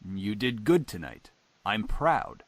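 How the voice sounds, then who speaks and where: A man's voice: on the low side, big pitch swings, slow pace, no echo, full character voice. An actor voicing a stern, controlling businessman father in a visual novel, speaking with commanding authority. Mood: firm approval.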